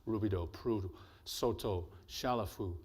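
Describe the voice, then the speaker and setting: Deep bassy guy in his thirties, chill and jokey, talking into a mic in a lecture hall. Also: normal speed. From Blackfoot territory, Alberta. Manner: relaxed, joking, conversational. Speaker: artist and presenter. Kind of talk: symposium talk with slides. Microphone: handheld or podium microphone.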